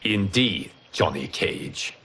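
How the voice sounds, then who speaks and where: A man playing a solemn, dignified god of fire and protector, speaking in a measured, formal, grave manner for a video game. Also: low-pitched, lively intonation, pushed and bright, a little hiss or hum, full character voice. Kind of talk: video game cutscene acting.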